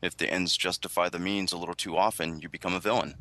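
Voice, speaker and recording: A man with a very low voice and a sharp, bright edge shares reflective thoughts as a podcast panelist. The recording is dry. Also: fairly even intonation, quick pace, dry recording.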